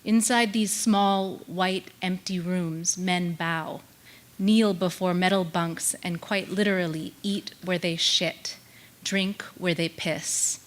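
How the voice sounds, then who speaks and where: A woman in her thirties gives a solemn, deliberate poetry reading on stage into a stand microphone. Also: fairly low pitch, steady tone. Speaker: literary event reader. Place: on stage in a theater.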